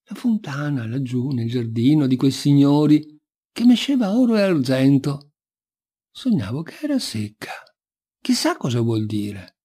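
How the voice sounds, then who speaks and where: A man's voice: mid-range voice, sing-song, measured pace, dark tone, breathy edge. A man voicing an ogre's sly young wife in a folk tale, plaintively recounting pretended dreams. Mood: coaxing, dreamy.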